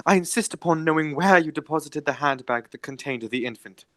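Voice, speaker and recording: A man playing an earnest, anxious young gentleman in a radio play, formal and insistent. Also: on the high side, fairly quick, dry recording, studio quality, lightly acted. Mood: urgent, agitated.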